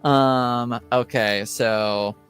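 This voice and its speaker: A man acting as game master, narrating and explaining rules of a tabletop game in a halting way. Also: mid-range voice, fairly even intonation, a bit bright, clear, resonant tone, soft background music. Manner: halting, hesitant delivery.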